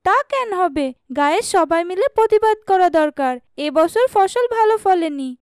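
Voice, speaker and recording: A woman's voice: very high voice, lively intonation, normal speed, no room sound, clean studio sound. A woman voicing an outspoken, cheeky young village girl, a little sister in a cartoon. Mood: indignant. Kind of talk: cartoon dubbing.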